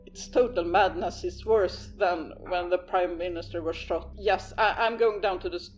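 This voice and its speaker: A man's voice: sits high, fairly even intonation, faint music behind, close to an everyday voice, poor audio. Man playing a stammering, harried cop. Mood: urgent. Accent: Swedish. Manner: stammering, hurried. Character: harried male police officer on the phone.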